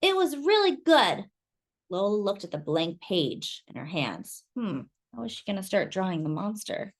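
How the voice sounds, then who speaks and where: A woman reading a children's picture book aloud with expressive, animated narration and quiet asides. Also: on the low side, moderate pace. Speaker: storyteller.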